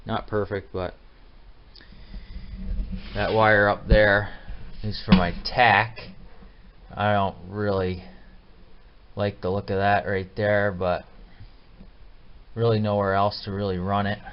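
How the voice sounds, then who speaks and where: A man with a deep voice talks through a DIY job in a casual, plainspoken way, addressing viewers like buddies. The audio is dull and lo-fi, with faint noise behind him. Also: level tone, slow, long pauses. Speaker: DIY truck restorer hosting his build videos. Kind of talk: casual hands-on how-to narration.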